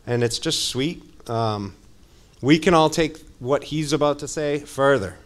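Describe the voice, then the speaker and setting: A man's voice: fairly high pitch, measured pace. A man speaking earnestly and informally to his church, sharing testimony as he introduces a guest. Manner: earnest, conversational.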